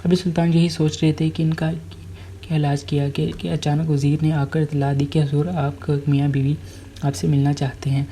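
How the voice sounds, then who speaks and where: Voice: medium pitch, little pitch movement, moderate pace, warm tone, slight background noise. A storyteller narrating a scripted tale, now and then stumbling over and repeating words while reading.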